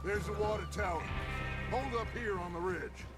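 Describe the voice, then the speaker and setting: A charismatic outlaw boss who rallies his gang with grand, theatrical speeches. His voice sits fairly high for a man, with loud game music playing underneath. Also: moderate pace. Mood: rallying. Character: charismatic middle-aged male outlaw gang leader, commanding. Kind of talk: video game cutscene dialogue. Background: game soundtrack music.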